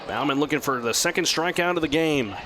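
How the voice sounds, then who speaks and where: A man doing live radio baseball play-by-play in measured, descriptive, clipped phrases. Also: a little high. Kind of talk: live radio sports commentary. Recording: clear steady outdoor ballpark noise.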